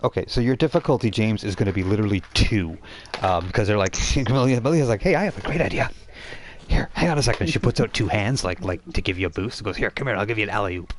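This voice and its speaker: A man's voice: fairly low pitch, quick pace, slight background noise. A man running a tabletop game as game master, improvising narration and explaining rules in an informal way.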